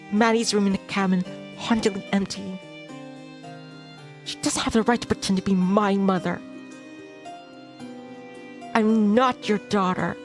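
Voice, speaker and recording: A woman reads a visual novel aloud as a streamer. Her first-person narration is dramatic and tense, delivered in short expressive phrases at a middle pitch, with game music clearly playing behind. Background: game soundtrack music.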